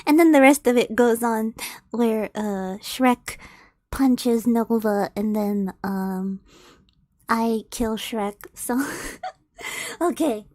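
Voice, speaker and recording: A woman's voice: on the high side, slow, pauses often, darkish tone, no room sound, clean studio sound. A woman streamer reading fanfiction aloud, giggling and stumbling over the words as she goes.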